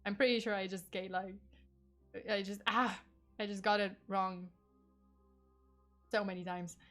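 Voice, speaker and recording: A woman's voice: medium pitch, fairly even intonation, a little slow, a bit bright, faint music behind. A young woman with a Polish accent, her first language Polish, chatting casually on a true crime stream with many fillers, laughs and swearing. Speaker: vtuber streamer.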